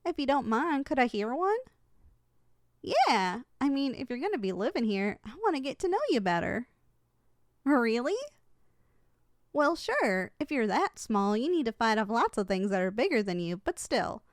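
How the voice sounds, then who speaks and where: A young woman playing a kind, caring giantess in an ASMR roleplay, speaking in a gentle, affectionate, conversational way. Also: fairly high pitch, sing-song, normal speed, dry recording, studio quality. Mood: curious, friendly. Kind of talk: ASMR roleplay, intimate character acting.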